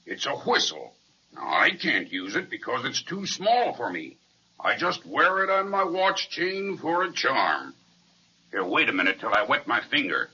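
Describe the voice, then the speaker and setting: A man playing a gentle, friendly, polite giant in a folksy way for an old-time children's radio serial. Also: medium pitch, huge pitch swings, measured pace, slight background noise, exaggerated voice. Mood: kindly, eager. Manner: folksy, kindly. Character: gentle, friendly, polite male giant stuck in a magic forest. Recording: muffled, lo-fi old radio recording.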